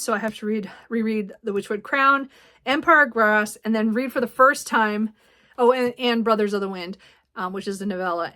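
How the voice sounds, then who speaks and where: High-voiced woman, chatty book vlogger. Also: a bit bright. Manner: giggly, effusive, rambling. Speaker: book reviewer and vlog host. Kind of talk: casual, chatty book talk to camera.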